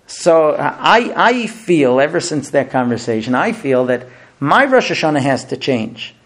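Animated rabbi giving a sermon. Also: male voice, fairly high pitch, unhurried. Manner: storytelling, rhetorical questions, repeats words. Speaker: rabbi teacher.

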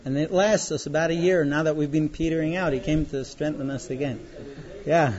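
A man in his thirties giving an informal classroom talk as a teacher, speaking haltingly with frequent pauses. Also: on the high side, steady tone, a crowd in the background. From born in Israel, later moved to the US. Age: 30s. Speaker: yeshiva teacher. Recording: dull, lo-fi sound.

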